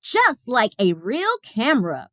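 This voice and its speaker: A woman reading a children's picture book aloud as a storyteller, with expressive, careful phrasing. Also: a little high, slow, no echo, clean studio sound.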